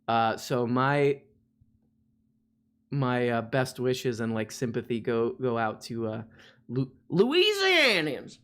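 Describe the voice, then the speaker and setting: A man's voice: medium pitch, big pitch swings, takes it slowly, fairly bright tone. A man from Florida hosting a livestream, chatting casually in a rambling, self-mocking way full of fillers and restarts. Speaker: livestream host. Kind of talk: casual chat.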